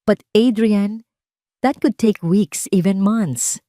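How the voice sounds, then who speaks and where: Woman voicing a gentle, grave blood specialist. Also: mid-range voice, dry recording, studio quality, pitched above the performer's own voice, close to an everyday voice. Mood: reassuring, sober. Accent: Filipino. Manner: measured, gentle, factual. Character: gentle, grave senior male hematologist.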